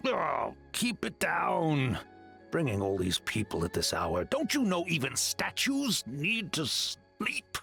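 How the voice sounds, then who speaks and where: A woman's voice: sits low, wildly swooping pitch, slow, gravelly, a bit bright, soft background music, heavily acted. A woman voicing a grumpy old guardian statue spirit in a video game, grumbling and easily flustered, with archaic phrasing.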